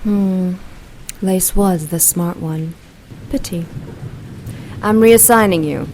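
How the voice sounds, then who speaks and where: Dark-voiced, languid villainess. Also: female voice, lowish voice, slow, slight background noise. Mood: cool, condescending. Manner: languid, condescending. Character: commanding female supernatural villain, coolly menacing and condescending. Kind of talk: audio drama acting.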